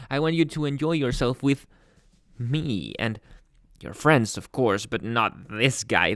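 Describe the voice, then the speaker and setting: A man playing a jealous, possessive young boyfriend in an ASMR roleplay, speaking with dramatic pauses and dropping into whispers. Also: mid-range voice, fairly bright tone, no room sound, clean studio sound.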